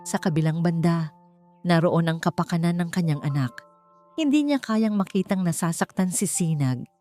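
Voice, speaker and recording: Filipino female audiobook narrator, low-pitched and mellow, dramatic storytelling over music. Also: expressive, moderate pace.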